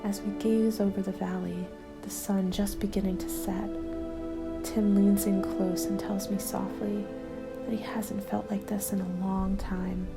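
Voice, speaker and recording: A woman reads a scripted ASMR roleplay in a soft, breathy whisper with a creaky edge. Her delivery is hushed and very even, unfolding slowly and deliberately. Music plays clearly behind her. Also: medium pitch. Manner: slow, hushed, deliberate storytelling. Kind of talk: ASMR scripted first-person story narration. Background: instrumental music.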